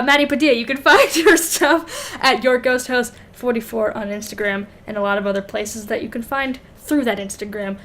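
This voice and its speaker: A woman's voice: fairly high pitch, fairly bright tone, a little hiss or hum. A young woman co-hosting a podcast in casual comedic chat, wry and exclamatory, often laughing through her words. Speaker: podcast co-host.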